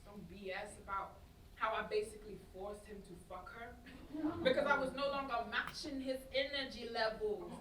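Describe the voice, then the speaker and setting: A woman's voice: on the high side, fairly even intonation, normal speed, fairly bright tone, natural voice. A young woman acting a heartbroken, defensive, slangy young woman in a staged play reading. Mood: indignant, scornful. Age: young adult. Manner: slangy, indignant, exasperated delivery. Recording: roomy distant stage recording, noisy audience.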